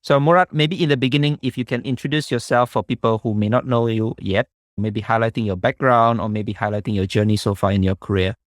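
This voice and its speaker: A man's voice: mid-range voice, fairly even intonation, fairly quick, no room sound, clean studio sound. A man hosting a tech podcast, giving scripted intros and sponsor reads in an upbeat way. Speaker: podcast host.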